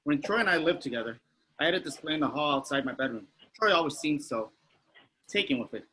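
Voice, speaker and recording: A man's voice: fairly high pitch, lively intonation, fast talker, pushed and bright, slight background noise, poor audio. A man playing a crude, boastful, oblivious older man in a sitcom table read. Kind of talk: sitcom script read aloud.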